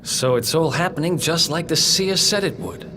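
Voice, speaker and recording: A man acting a highish-voiced roguish young prisoner. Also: expressive, normal speed, noisy background, natural voice. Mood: astonished, urgent. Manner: wry, informal, conversational. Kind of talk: video game cutscene acting.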